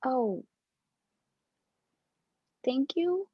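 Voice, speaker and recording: Woman reading a slow, flustered romcom heroine, roomy sound. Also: sits high, animated, slightly rough, full character voice. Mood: softened. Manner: halting, deliberate, with hesitant restarts. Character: flustered, wounded woman sick with a cold, romantic comedy lead. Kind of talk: amateur script read-through of a romantic comedy. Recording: dull, roomy home recording.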